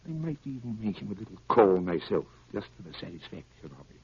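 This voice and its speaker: An actor playing a cold, calculating criminal mastermind, deliberate and ominous, in 1930s radio style. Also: male voice, fairly low pitch, sing-song, a little mellow, slightly rough, dry recording, full character voice. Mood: brooding threat. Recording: dull, hissy 1930s radio broadcast.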